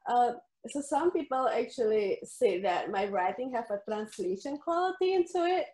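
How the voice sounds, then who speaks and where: Woman, Indonesian accent, young adult, thoughtful interview answers, warm dark tone, echoey video call. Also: on the high side, animated, normal speed. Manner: soft, thoughtful, frequent ums and laughs. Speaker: novelist guest. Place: indoors room at home. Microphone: headphones on video call.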